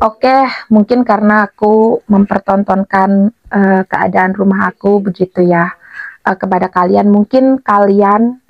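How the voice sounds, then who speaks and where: A woman's voice: mid-range voice, fairly even intonation, a little slow, dry recording, muffled recording. A woman chatting casually to her viewers as a vlogger, with a cough, cold and sore throat. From Blitar, East Java, Indonesia.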